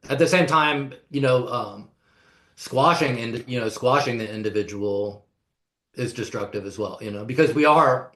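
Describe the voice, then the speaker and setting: A man from the United States, a podcast guest, explaining ideas in a thoughtful, unhurried way with frequent pauses. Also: medium pitch, fairly bright tone. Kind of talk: casual conversational discussion. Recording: echoey room.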